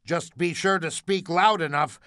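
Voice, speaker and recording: An actor playing a forgetful, doddering elderly superhero, rambling absent-mindedly and bursting into heroic shouts. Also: male voice, on the high side, unhurried, smooth, no echo, clean studio sound. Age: older. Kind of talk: video game voice clips.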